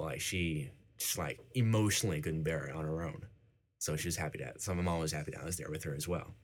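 A young man, whose first language was Icelandic, being interviewed in a casual chat, filling his talk with "like". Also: rumbling low. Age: young adult. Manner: fills talk with "like".

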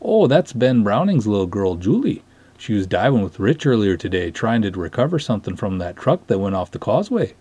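A man's voice: fairly low pitch, big pitch swings, brisk, dark tone, slightly rough. A man playing an easygoing canoe cop who talks in a folksy, joshing way to his pal in a story reading. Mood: casual. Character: teasing, easygoing male canoe cop buddy.

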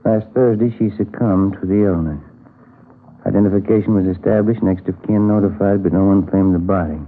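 An American actor playing a plain-spoken, musing police detective in a 1950s radio crime drama, in a conversational manner. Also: male voice, deep voice, steady tone, brisk. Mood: official, reading. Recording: muffled, narrow-band vintage radio recording.

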